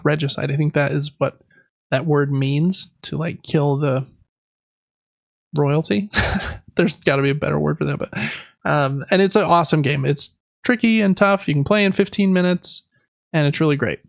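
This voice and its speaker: Enthusiastic man, slightly creaky voice. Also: a little high, no echo, highs cut off. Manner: enthusiastic, informal. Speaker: podcast host. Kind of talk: casual board game podcast chat.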